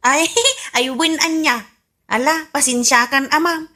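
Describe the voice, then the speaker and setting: A woman's voice: high-pitched, unhurried, bright, forceful tone. A woman acting a cheeky housemaid in an Ilocano radio comedy-drama, talking back with mock deference in an Ilocano Filipino accent. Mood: sassy, mock-polite.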